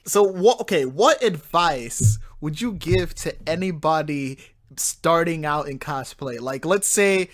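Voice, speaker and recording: A man's voice: a little high, animated, unhurried. Easygoing male podcast host. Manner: easygoing, brief replies, chuckles. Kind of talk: casual podcast interview.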